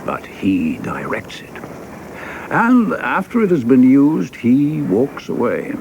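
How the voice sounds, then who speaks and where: An actor playing a skeptical senior statesman in a radio play, dry and deliberate, hesitating as he cautions. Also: medium pitch, very animated, gravelly, darkish tone, lo-fi sound, slight background noise, exaggerated voice. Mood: gently warning.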